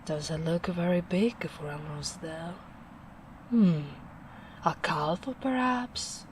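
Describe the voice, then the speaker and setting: A big, gentle ogress, played by a young woman in a soft-spoken ASMR roleplay speaks in a low, slightly deep voice. She talks very slowly and soothingly, with a caring, protective warmth. Now and then she drops to breathy, near-whispered asides. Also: animated, slight background noise. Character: gentle towering female ogre, caring and protective.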